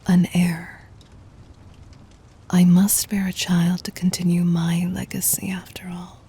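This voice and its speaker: A woman playing a regal, adoring demon queen in an intimate ASMR roleplay, whispery and breathy, speaking formally with tender command. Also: on the low side, level tone, slow, warm tone, exaggerated voice. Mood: tender, devoted.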